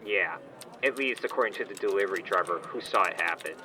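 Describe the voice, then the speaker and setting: A man's voice: low-pitched, quick pace, pushed and bright. A man playing a smug, entitled online shop owner on a phone call in a comedy audio drama, whiny, condescending and petulant in casual slang. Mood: petulant, exasperated. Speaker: caller, complaining customer.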